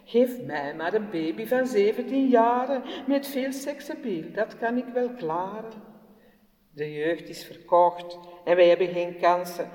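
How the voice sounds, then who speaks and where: A woman's voice: mid-range voice, expressive, a little slow, mellow, lightly acted. A woman doing a rude cynical teenage boy. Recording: echoey hall, voice far from mic.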